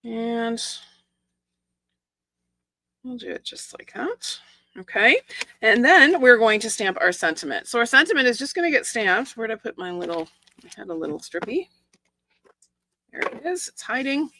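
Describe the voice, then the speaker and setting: A middle-aged woman with a croaky, hoarse voice, chatting casually as she demonstrates a stamping and card-making project step by step. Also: fairly high pitch, sing-song, normal speed, pauses often, sharp tone. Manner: chatty, explains each step with asides and small laughs. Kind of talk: casual live craft tutorial.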